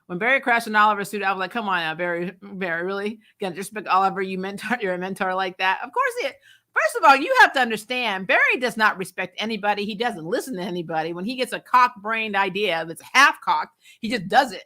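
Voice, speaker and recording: Bright-voiced, sarcastic woman chatting bluntly. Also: medium pitch, quick pace. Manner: animated, sarcastic, exasperated, blunt; casual swearing. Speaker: host of a TV show review channel. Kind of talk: casual spoiler recap chat, reading and answering viewer comments.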